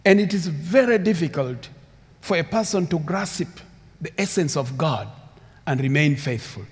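A man's voice: a little high, animated, moderate pace. A man from Uganda with a Ugandan English accent, preaching an earnest sermon as a guest speaker, repeating phrases and building emphasis. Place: church sanctuary with long reverb. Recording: reverberant church hall.

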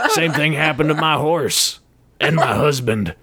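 A man's voice: fairly high pitch, very animated, slow pace, pushed and bright, pitched above the performer's own voice, exaggerated voice. A man voicing a grumpy, mustachioed male farmer with a grumbling delivery. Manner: glaring, grumbling.

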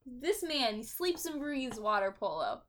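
A woman's voice: on the high side, very animated, a little slow, fairly bright tone. A woman co-hosting a casual movie podcast, teasing and playful. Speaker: podcast co-host.

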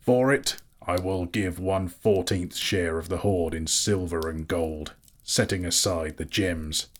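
A man voicing a proud, bitter dwarf king in a dramatic storybook reading, gruff and imperious, declaiming slowly and scornfully. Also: low-pitched. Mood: grim, bitter.

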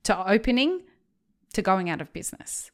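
A woman hosting a podcast, quickly summing up points and encouraging her guest. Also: medium pitch, very animated. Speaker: podcast host. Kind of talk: casual podcast interview.

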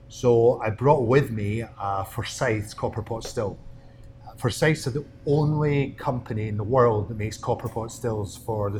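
A middle-aged man from Scotland speaks in a low voice with a Scottish accent. He tells his story conversationally as a guest, with faint room noise behind him. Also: darkish tone. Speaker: distillery owner, interview guest.

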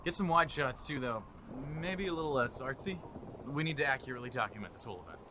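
A man playing a steady, duty-bound military detective and father in an audio drama, plain-spoken and measured. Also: medium pitch, noisy background, natural voice. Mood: businesslike. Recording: loud music under the voice.

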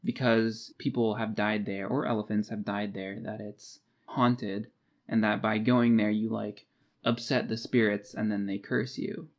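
A man's voice: fairly low pitch, flat delivery, a little slow. A man co-hosting a casual book discussion, recapping a story in an informal way with wry, jokey asides. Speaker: podcast co-host.

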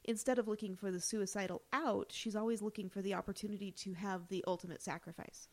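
A woman's voice: mid-range voice, fairly even intonation, fast, a little mellow. A woman co-hosting a podcast, casually discussing a television show in conversation. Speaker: podcast co-host.

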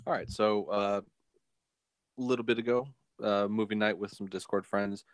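Lower-pitched man, dry close sound. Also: steady tone, normal speed, smooth, no room sound. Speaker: podcast co-host. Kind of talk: casual film discussion.

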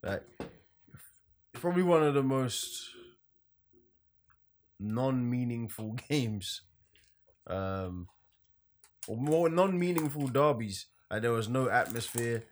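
A man's voice: medium pitch, expressive, slow pace, long pauses. A man co-hosting a podcast, giving a laid-back, rambling football recap with frequent laughs. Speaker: podcast co-host. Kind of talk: casual football talk.

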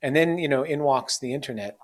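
Man, 40s, podcast host, mid-pitched, rambling, self-deprecating. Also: a little fast. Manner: rambling, self-mocking, many fillers. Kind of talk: casual interview chat.

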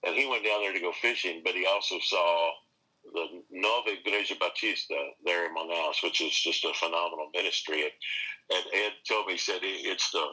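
A low-pitched man with a forceful, slightly husky voice, speaking as a pastor in an interview. He talks earnestly and keeps restarting his sentences, then leans hard into his key points. The delivery sounds like an unscripted conversation. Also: a little fast, rough recording. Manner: earnest, many restarts, animated emphasis. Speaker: pastor and seminary teacher.

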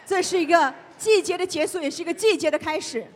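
A woman's voice: very high voice, quick pace. A woman interpreting a preacher's prayer in a church, one phrase at a time. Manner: follows each phrase closely. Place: church hall. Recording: long hall reverb.

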